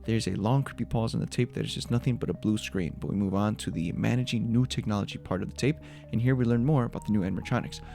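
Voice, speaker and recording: A man's voice: on the low side, fast talker, gravelly, few pauses, warm tone, faint music behind. A man giving a scripted breakdown of a horror video as its creator, in voice-over narration.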